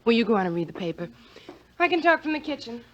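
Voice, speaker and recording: An actress playing a caring, probing ballplayer's wife in an old-time radio drama style. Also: female voice, a little high, very animated, dull audio, slight background noise. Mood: breezy, teasing. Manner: probing, affectionate, quick to question. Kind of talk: 1940s-50s radio drama acting. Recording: vintage mid-century radio recording.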